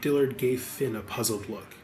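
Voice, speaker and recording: A man's voice: medium pitch, normal speed, darkish tone, low-quality recording. A man reading scripted audiobook narration as the narrator.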